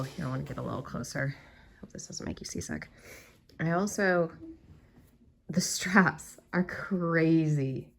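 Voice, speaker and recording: A woman's voice: sits low, gravelly. A woman talking casually to her viewers while she sews, calling them "my darlings" and explaining her progress. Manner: chatty, addresses viewers as "my darlings". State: croaky from a cold. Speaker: sewing vlogger. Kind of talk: casual sewing vlog talk to viewers.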